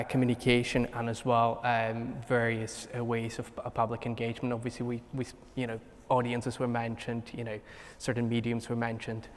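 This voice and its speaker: Panel chair, man, monotone, loose wordy questions, hall reverb. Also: mid-range voice, slight background noise. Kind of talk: moderating a roundtable.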